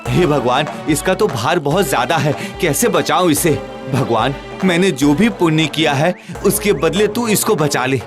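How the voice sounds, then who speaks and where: An actor voicing a kind, righteous, devout young village man in a Hindi animated moral story, speaking earnestly and preachily. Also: a little high, moderate pace, natural voice. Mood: anguished prayer. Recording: loud background music.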